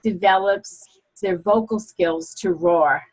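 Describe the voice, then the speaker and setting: A woman's voice: lowish voice, slow pace, slightly rough, rough recording. A woman hosting an online talk show, encouraging and affirming her callers. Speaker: host. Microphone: computer video call.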